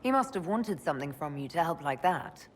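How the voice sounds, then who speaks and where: A composed elven leader in a fantasy game. Her questions are direct and curious, in a lowish voice with long reverb and some noise behind it. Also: big pitch swings, brisk. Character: composed young female elf mage leader, direct, inquisitive. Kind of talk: dramatic video game dialogue.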